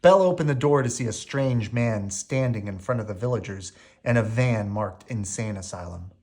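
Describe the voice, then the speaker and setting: A man reading a children's bedtime story aloud with expressive narration. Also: lowish voice, normal speed. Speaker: storybook reader.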